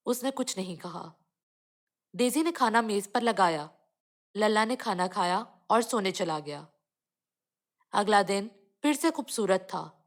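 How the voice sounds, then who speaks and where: A woman reading a scripted children's cartoon story as the narrator. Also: mid-range voice.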